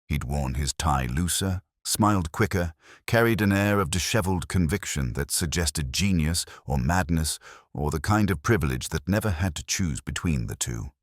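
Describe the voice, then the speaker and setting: Man acting as weary older detective, very low voice, expressive pitch swings, wry, measured, brooding, audio drama narration, dry close sound. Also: no echo. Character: weary, wry, reflective older male Oxford police inspector. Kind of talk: literary first-person audio drama narration.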